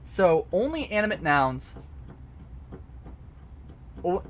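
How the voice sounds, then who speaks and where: A man's voice: high-pitched, big pitch swings, takes it slowly, a bit bright, slight background noise. A man casually explaining a topic to a live chat from a home basement recording area, hesitant, with many fillers and restarts. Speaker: host answering chat.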